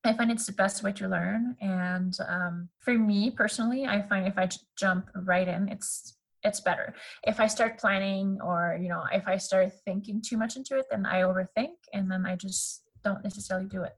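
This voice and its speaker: A woman's voice: medium pitch, normal speed, hardly any pauses, rough recording. A woman in her thirties from Canada who speaks English with a French accent. French is her first language. She chats casually as a podcast guest, using lots of fillers and laughing easily. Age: 30s. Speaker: podcast guest, graphic designer. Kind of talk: casual interview chat.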